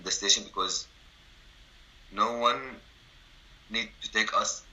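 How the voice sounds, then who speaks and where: A man in his twenties from Syria with an Arabic accent, telling his story in halting, broken English full of restarts. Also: lowish voice, sharp tone, highs cut off. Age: 20s. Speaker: interviewee. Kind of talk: personal story, casual.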